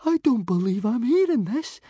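A man voicing a nervous, sarcastic young Viking boy in a squeaky, incredulous squeal for a storybook. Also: big pitch swings, normal speed, mellow, slightly rough, dry recording, exaggerated voice. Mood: incredulous panic.

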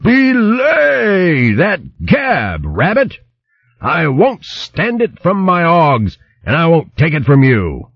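A man voicing a massive, bullying male hedgehog chieftain in a storybook, with booming, stern, rough rustic speech. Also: animated, slow, no room sound, highs cut off, exaggerated voice. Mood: furious bluster.

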